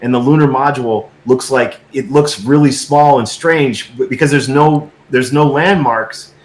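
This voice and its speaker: A man talking casually as a guest, halting and repeating himself insistently as he seeks agreement, with an echoey, roomy sound. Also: mid-range voice. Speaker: guest filmmaker. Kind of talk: casual unscripted discussion.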